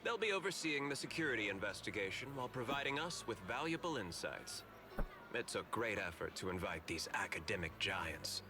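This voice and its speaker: Suave corporate manager, swooping intonation. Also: male voice, lowish voice, very animated. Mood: composed, explanatory. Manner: polite, measured, explanatory. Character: suave, courteous male corporate manager, composed and politically shrewd. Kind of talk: video game voice acting.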